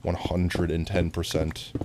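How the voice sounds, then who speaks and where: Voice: low-pitched, monotone, a little slow, background noise. An American game streamer from New York chatting casually in a playful, jokey, giggly manner. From New York, United States. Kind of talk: casual gaming stream chat.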